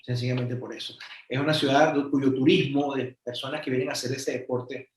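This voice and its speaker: A man's voice: mid-range voice, steady tone, brisk, echoey room. A man from Venezuela co-presenting a webinar, explaining in an informative way and adding figures and asides.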